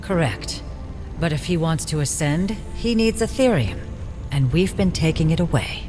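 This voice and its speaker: A man's voice: fairly high pitch, sing-song, moderate pace. A man playing a resolute, noble warrior hero in a fantasy video game, with terse, commanding delivery. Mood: focused. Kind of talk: scripted video game cutscene dialogue. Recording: long hall reverb, loud game music behind.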